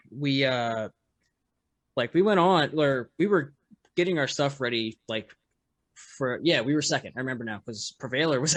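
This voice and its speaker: A man, a musician guest on a podcast, with a loud voice, telling a story in casual chat full of fillers and restarts. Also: mid-range voice, dry recording. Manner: rambling, lots of fillers and restarts, laughs often.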